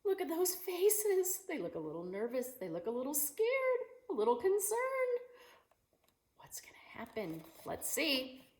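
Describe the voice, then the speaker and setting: A woman teacher reading a picture book aloud to children in an expressive, animated way, asking her young listeners questions. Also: high-pitched, a little slow. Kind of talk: animated children's story time read-aloud.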